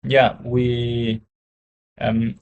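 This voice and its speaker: Slow, hesitant Vietnamese-accented man. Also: medium pitch, little pitch movement, dull audio. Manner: hesitant, searching for words, restarts often. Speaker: English learner. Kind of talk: conversation practice.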